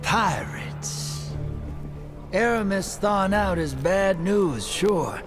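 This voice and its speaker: High-pitched, drawling folksy rogue. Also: male voice, expressive, slow pace, over music. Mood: confident, sly. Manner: drawling, informal. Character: laid-back, folksy rogue ally, shrewd and confident. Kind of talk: video game cutscene acting.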